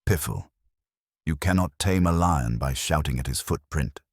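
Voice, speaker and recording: Man voicing a very deep, imperious detective. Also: expressive, dry recording, studio quality, full character voice. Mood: scornful. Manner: formal, ornate, measured rebukes. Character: stern imperious older male detective, pedantic and scornful.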